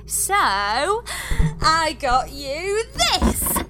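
Woman as a very high-voiced, upbeat space engineer. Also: big pitch swings, very slow, background noise, exaggerated voice. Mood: appreciative. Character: sweet, upbeat lonely female space engineer. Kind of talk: comedy sci-fi audio drama acting.

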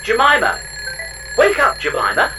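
A man playing an excitable, devoted spaceship computer in a comedy sci-fi audio drama, theatrical and exuberant. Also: extremely high pitch, normal speed, far from the mic, background noise, heavily acted. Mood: excited.

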